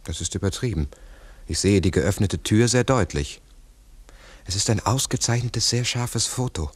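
Very low husky male voice, thoughtful investigator, deliberate, 1960s radio drama. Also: lively intonation. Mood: matter-of-fact. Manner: deliberate, pensive, explanatory. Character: earnest, philosophizing male investigator of a mystery. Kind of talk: 1960s German radio play acting. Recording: 1960s mono radio recording.

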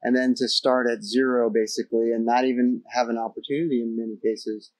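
A man's voice: mid-range voice, little pitch movement, a little fast, a little mellow, no echo, low-quality recording. A man in his forties hosting a casual interview on a close microphone, speaking in an agreeable, affirming way. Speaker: podcast host interviewer. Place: large indoor electronics production floor, seated. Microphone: close podcast microphone on boom arm.

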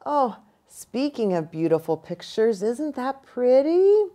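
A middle-aged American woman reading a storybook aloud to children in an expressive, deliberate way, pausing within phrases. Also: a little high. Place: small indoor room with bookshelf and armchair.

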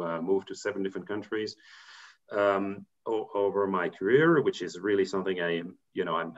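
A man in his fifties from Belgium, a corporate treasurer giving career advice on a video call, talking thoughtfully with many fillers and restarts. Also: lowish voice. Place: home room, indoors. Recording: muffled video call audio.

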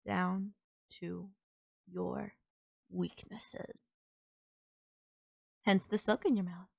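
A woman's voice: lowish voice, little pitch movement, very slow, vocal fry, no room sound, exaggerated voice. A woman playing a sultry, possessive kidnapper who gloats over and coaxes a captive in an intimate audio roleplay. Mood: gloating, possessive. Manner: teasing, coaxing, controlling.

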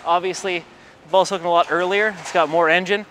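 A man's voice: high-pitched, steady tone, fairly quick, fairly bright tone, slight background noise. A man in his thirties gives a casual bowling ball review in a large bowling alley, muttering play-by-play between throws. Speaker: pro shop ball reviewer.

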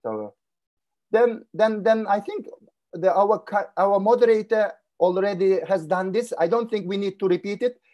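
A man's voice: sits high, moderate pace, warm tone, lo-fi sound. Formal man on a video call. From Kyrgyzstan. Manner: formal and courteous, repeats words while searching for them. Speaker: guest speaker. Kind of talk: webinar presentation. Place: indoor room.

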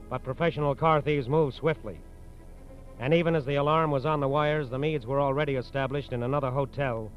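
A man narrating a scripted 1940s radio crime drama in a formal, measured, grave manner. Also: mid-range voice. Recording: dull, vintage 1940s radio recording.

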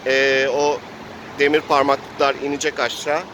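A man's voice: medium pitch, completely flat delivery, moderate pace, noisy background. A man casually improvising narration as he plays a role-playing game.

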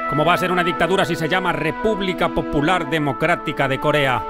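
A man delivering scripted mock-documentary narration. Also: on the high side. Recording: music behind the voice.

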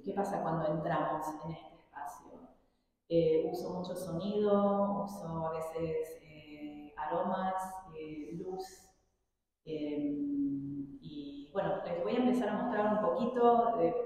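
A woman's voice: on the low side, a little mellow, a little hiss or hum. A woman artist giving an informal talk to students in a classroom, hesitating often, with a Rioplatense accent that sounds slightly mixed. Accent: Rioplatense Spanish, slightly mixed after years abroad. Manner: hesitant, many filler sounds and restarts. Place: large university classroom. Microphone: room mic from a distance. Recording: distant, echoey hall sound.

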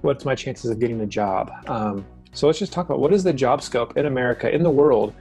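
A man's voice: mid-range voice, fairly quick, warm tone, faint music behind. A young man hosting an interview, speaking in an upbeat, friendly way over earbuds from a home room with bookshelves. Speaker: host. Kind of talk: casual interview on a video call. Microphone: earbuds on a video call.

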